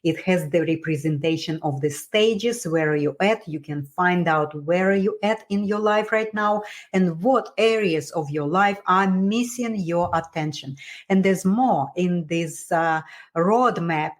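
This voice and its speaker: A woman with a strong Russian accent, speaking as a wellness coach in an encouraging motivational talk, halting as she searches for words. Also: fairly low pitch. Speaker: wellness coach and podcast host.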